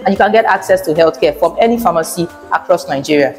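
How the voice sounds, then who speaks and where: A woman reads an upbeat, scripted promotional message in a lowish voice. Faint music plays underneath, and a long reverb trails after her words. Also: lively intonation, fast talker, a little mellow. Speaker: ad presenter. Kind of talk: scripted radio-style advert.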